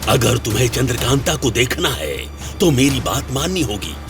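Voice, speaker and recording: Sinister cellar stranger: gravelly, ominous, deliberate, audio drama style with music underneath. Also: male voice, medium pitch, sing-song. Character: mysterious sinister figure waiting in a dark cellar. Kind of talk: Hindi audio drama acting.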